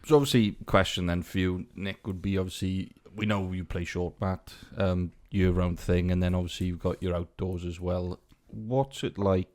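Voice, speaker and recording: A Welsh man hosting a bowls podcast, asking steady questions with many fillers. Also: low-pitched, moderate pace, few pauses. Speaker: podcast host. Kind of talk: interview questions.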